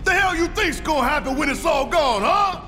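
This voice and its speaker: An actor playing a burly, hot-tempered militant leader in a video game, gravelly, emphatic and confrontational. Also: male voice, very high voice, expressive, normal speed. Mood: angry preaching. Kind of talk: video game cutscene acting. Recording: reverberant hall, game music behind.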